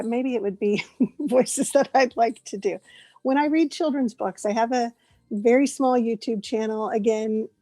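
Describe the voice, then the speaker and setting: A chatty woman in her late forties, voice a touch high and mellow, talking warmly and laughing often as a guest. The recording is dry and close, with faint music behind her. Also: no echo. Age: 40s. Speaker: guest voice actress. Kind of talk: casual interview chat.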